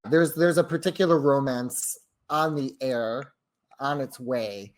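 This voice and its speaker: A man's voice: medium pitch, measured pace. A man in casual podcast conversation as a co-host, feeling out his phrases as he goes. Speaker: audio drama writer and podcast host. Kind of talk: casual chat.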